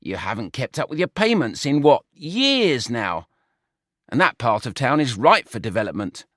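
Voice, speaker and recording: A man's voice: medium pitch, very animated, normal speed, fairly bright tone, no room sound. A man doing a curt, fussy pig financier. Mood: dry. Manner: dry, curt, snorting. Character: dry, fussy male pig financier, germ-phobic.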